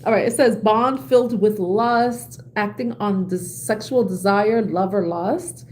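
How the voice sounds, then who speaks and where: A woman giving a casual tarot reading, chatty and quick to laugh at herself, often pausing and correcting her words. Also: mid-range voice, a little mellow, a little hiss or hum. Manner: chatty, laughs at own jokes, self-correcting. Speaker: tarot reader.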